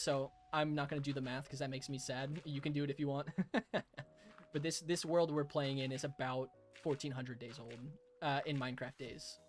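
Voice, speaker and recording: A hoarse young man live-streaming a game, chatting casually with viewers, chuckling and thanking them. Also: fairly high pitch. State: hoarse, raspy throat.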